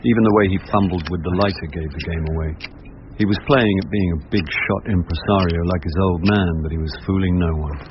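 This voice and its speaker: An English actor playing a wry, hard-drinking middle-aged actor turned amateur sleuth in a radio drama, with a dry, rueful manner. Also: male voice, deep voice, fairly quick, lightly acted. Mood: wry narrating. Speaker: lead actor and narrator. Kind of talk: radio drama narration and dialogue. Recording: muffled radio broadcast with clear noise.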